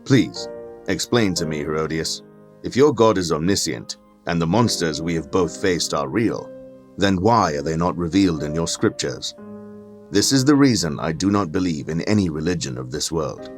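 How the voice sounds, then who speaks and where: Deep-voiced, pompous learned man played by an actor. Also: expressive, moderate pace, faint music behind. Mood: earnest, argumentative. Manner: pompous, formal, lecturing. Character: haughty, learned skeptic gentleman, argumentative and condescending. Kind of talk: dramatic audio fiction acting.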